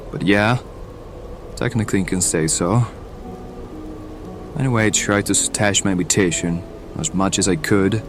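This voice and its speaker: Loud music sits beneath a deep, slow-spoken mercenary played by a male actor. He is a bitter mutant, haunted and reluctant. His audio drama delivery is sardonic and coarse, weary of the world. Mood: bitter, resentful. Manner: sardonic, grumbling, coarse-mouthed. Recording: music under the voice.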